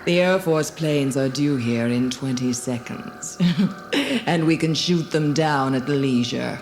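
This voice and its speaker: A woman's voice: extremely low pitch, a little mellow, a little hiss or hum, full character voice. A woman playing a cruel, arrogant alien commander in a dramatised storybook, imperious and scornful. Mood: gloating. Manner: imperious, gloating, deliberate. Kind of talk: dramatised storybook audio acting. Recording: music behind the voice.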